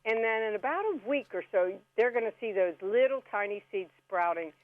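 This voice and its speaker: A chatty woman guest walks listeners through simple instructions in a folksy, casual way. Her voice comes through a narrow, phone-like connection with very little room sound, at a middling pitch. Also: expressive, fairly quick, no echo. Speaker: radio guest giving gardening tips. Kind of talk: casual step-by-step how-to chat. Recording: band-limited phone-like radio call.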